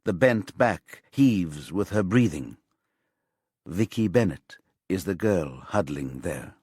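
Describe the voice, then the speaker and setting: A man reading scripted thriller narration as an audiobook narrator, with measured, suspenseful storytelling. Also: low-pitched, lively intonation, darkish tone, husky, dry recording, clean studio sound.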